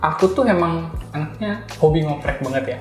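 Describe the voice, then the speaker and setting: A man's voice: a little high, a little fast. A young man explaining things in a casual chat as an interview guest. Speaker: interview guest, backend developer. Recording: roomy echo, music behind voice. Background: background music.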